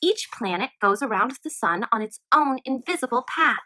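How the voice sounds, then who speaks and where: A woman teacher reading a children's nonfiction book aloud in an expressive storytime manner. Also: a little high, moderate pace, a little hiss or hum.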